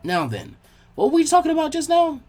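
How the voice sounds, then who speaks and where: Eager boy ninja, done by a male narrator: brash, informal, animated delivery over background music. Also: very high voice, fairly quick, voice pushed up, full character voice. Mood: cheerful, suspicious. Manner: blunt, informal.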